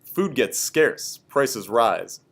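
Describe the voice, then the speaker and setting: A man's voice: medium pitch, big pitch swings, extremely slow, gravelly, a bit bright. A man reading scripted educational history narration aloud for a class.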